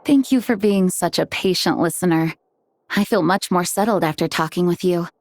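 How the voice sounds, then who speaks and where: A woman playing a dutiful, composed knight commander in a video game, speaking in a measured, formal, courteous way. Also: on the low side, a little mellow, a little hiss or hum, close to an everyday voice. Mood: gracious. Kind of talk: video game dialogue and story narration. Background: music.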